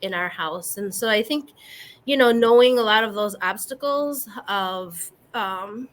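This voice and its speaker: A woman from the US Midwest whose first language is Spanish, speaking as a guest on a video call panel in a reflective, earnest way with frequent pauses. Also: mid-range voice, fairly bright tone. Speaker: guest panelist, community organizer. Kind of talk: casual panel chat.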